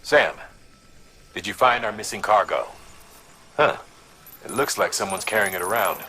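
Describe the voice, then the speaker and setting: An actor playing a businesslike male operator giving orders in a video game, brisk and matter-of-fact. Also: fairly low pitch, pushed and bright. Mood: inquiring. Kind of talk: video game cutscene acting.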